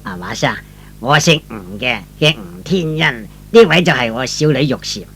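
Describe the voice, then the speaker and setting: A man's voice: mid-range voice, expressive, moderate pace, pushed and bright, pitched below the performer's own voice. A man playing a kindly, grateful older father, a well-off merchant, courteous and theatrical in a radio drama. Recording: faintly noisy old radio recording.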